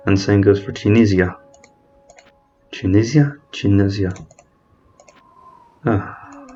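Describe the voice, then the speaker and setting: A man's voice: lowish voice, darkish tone, dull audio. A man giving methodical commentary over a strategy game, thinking aloud and correcting himself mid-word. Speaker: strategy game let's-player. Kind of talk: explanatory gameplay commentary.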